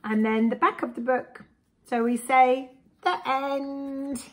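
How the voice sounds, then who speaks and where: A woman in her forties with an English accent tells a story to preschoolers. Her voice is on the high side and her pace is very slow. She is expressive and deliberate, as if making sure small listeners follow each part. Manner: expressive, deliberate, with simple explanatory asides. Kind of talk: reading a rhyming picture book aloud to young children. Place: small indoor room with bookshelves. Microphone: camera a short distance away.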